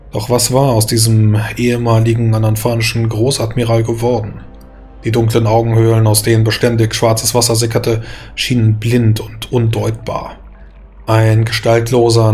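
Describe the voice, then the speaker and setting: A man reading scripted dark fantasy narration as a storyteller, measured and solemn. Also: fairly low pitch. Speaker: storyteller, game master.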